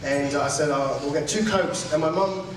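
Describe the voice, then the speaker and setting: A young Australian man with an Australian accent performing an animated stand-up comedy set, slipping into voices of the people in his stories. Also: fairly high pitch, normal speed, slight background noise. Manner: animated storytelling, mimics voices of mum and others. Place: large hall with a live audience. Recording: echoey hall, miked from far off, audience.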